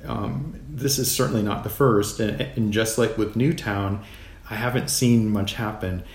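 American man in an echoey room, measured. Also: on the low side. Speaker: podcast guest, physician. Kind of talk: reflective interview talk. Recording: roomy echo, clear background noise.

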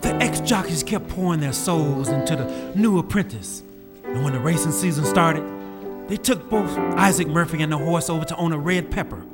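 A man's voice: on the high side, normal speed. A man narrating a scripted story in a dramatic style. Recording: reverberant, faint music behind.

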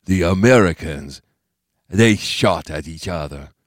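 A man voicing a sharp, commanding old man with terse, menacing delivery in an audiobook thriller. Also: deep voice, expressive, a little slow, no echo, studio quality. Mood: terse, gleeful. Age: older.

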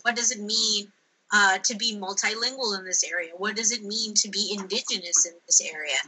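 A woman's voice: medium pitch, fairly quick, husky, low-quality recording. A woman speaking as a researcher guest in an interview, talking animatedly, laughing now and then and acting out what people say. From United States. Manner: animated, laughs, acts out quoted speech. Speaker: linguistic anthropologist guest. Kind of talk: conversational interview answers.

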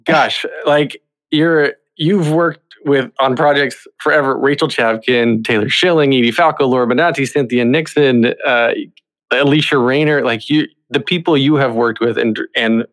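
A man's voice: mid-range voice, normal speed, fairly bright tone. A man hosting a podcast, talking in an effusive, wordy, conversational interview style. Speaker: podcast host.

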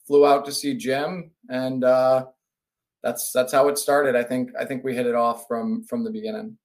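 A man's voice: medium pitch, flat delivery, moderate pace. A middle-aged man from Connecticut answering casually as a guest in an interview. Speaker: podcast guest, business executive.

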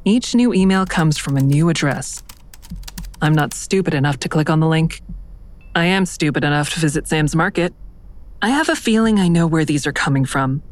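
A woman's voice: sits low, normal speed, close to an everyday voice. An actress playing a troubled, self-critical woman who narrates her own story in an audio drama, terse and matter-of-fact. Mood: brooding, matter-of-fact. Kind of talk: first-person audio drama narration, present tense.

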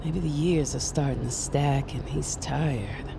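A woman's voice: rumbling low, unhurried, creaky, noisy background, full character voice. An actress playing a blunt, protective witch mentor in an audio drama, with a dry, earnest manner. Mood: frank, uneasy.

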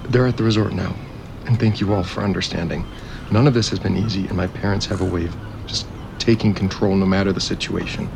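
Deep-voiced, gravelly grieving widower. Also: male voice, steady tone, a little fast, background noise. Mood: weary, grateful. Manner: hesitant, apologetic, placating. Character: grieving resort heir, evasive and overwhelmed widower. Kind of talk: naturalistic audio drama acting.

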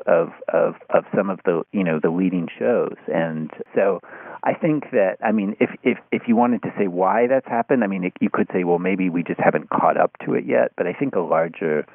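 A man speaking as an interview guest in a thoughtful, halting conversational way, often restarting his phrases. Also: low voice, vocal fry, breathy edge, no room sound. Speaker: interview guest, writer of an article on podcasts. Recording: phone-like narrow-band sound.

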